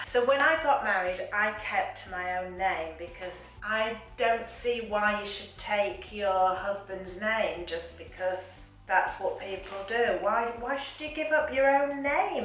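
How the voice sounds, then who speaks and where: A woman's voice: fairly high pitch, fairly bright tone. A woman chatting in a wry, exasperated way about things that annoy her. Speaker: vlogger. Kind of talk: casual chatty monologue. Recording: very echoey room, voice from a distance, faint music.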